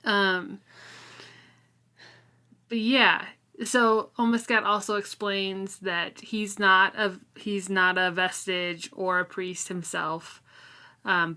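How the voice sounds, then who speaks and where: A woman's voice: mid-range voice, fairly even intonation, slow pace, pushed and bright, clean tone. A woman co-hosting a casual book discussion, informal and somewhat hesitant as she thinks aloud. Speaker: podcast co-host.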